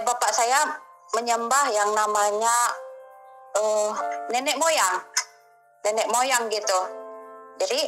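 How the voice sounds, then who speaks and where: A woman's voice: on the high side, rough recording. Woman telling her story. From Medan, Indonesia. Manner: slow, hesitant storytelling. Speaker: migrant worker giving a testimony. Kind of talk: personal testimony. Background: music.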